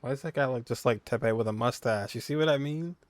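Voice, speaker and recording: A man's voice: mid-range voice, fast talker, no echo. A man giving informal, offhand commentary as he plays through a video game. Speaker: gaming content creator. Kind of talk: casual game playthrough commentary.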